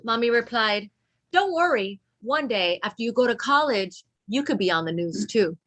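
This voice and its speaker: Female read-along leader with a high-pitched voice, encouraging young listeners and prompting them to join in. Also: lively intonation, moderate pace, a bit bright. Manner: encouraging, asks children to repeat. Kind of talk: reading a children's book aloud to pupils.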